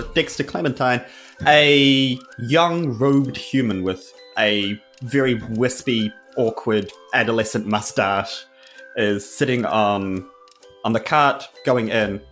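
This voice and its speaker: A man's voice: mid-range voice, a little slow, fairly bright tone. A man improvises comic third-person narration for his tabletop game character. His delivery is wry and tongue-in-cheek, with faint music playing underneath. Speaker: tabletop podcast player.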